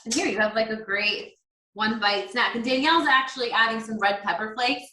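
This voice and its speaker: Bright, upbeat woman walking through a recipe. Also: a little high, a little fast, echoey room, rough recording. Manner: upbeat, instructional, chatty. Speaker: guest demonstrating a recipe. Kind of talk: cooking demo chat on a video call.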